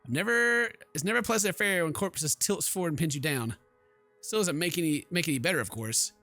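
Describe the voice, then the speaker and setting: Bright, higher-voiced man playing games. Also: brisk, no room sound, faint music behind. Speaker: gaming YouTuber. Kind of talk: let's play commentary with dramatic read-alouds.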